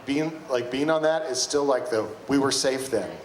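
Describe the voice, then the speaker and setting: A man's voice: mid-range voice, moderate pace. A man with an American accent hosts a live event casually, with informal, repetitive phrasing. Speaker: event host. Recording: echoey room, clear background noise.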